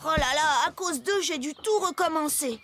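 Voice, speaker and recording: A woman's voice: sits high, normal speed, lightly acted. A woman voicing an eager, hardworking, easily flustered young tractor in an earnest, exclamatory way for a French children's cartoon. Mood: exasperated, flustered.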